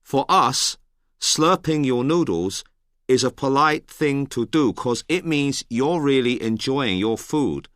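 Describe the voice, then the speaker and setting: A man giving a clearly spoken scripted monologue for a language-course listening exercise. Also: mid-range voice, lively intonation, slow pace, a bit bright, no room sound, studio quality. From Hong Kong.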